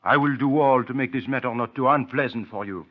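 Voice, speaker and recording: An actor playing a courteous, probing detective with a French-speaking Belgian accent and halting, formal phrasing in a radio drama. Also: male voice, mid-range voice, close to an everyday voice. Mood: soothing, formal. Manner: halting, formal, questioning with small interjections. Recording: dull old radio recording.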